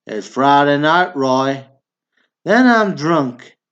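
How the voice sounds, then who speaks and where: A man's voice: on the high side, expressive, slow pace, a bit bright, lo-fi sound. A man acting in a stage play as one of two blunt, bantering Texan brothers, one a drunk war veteran. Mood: drunk, wry.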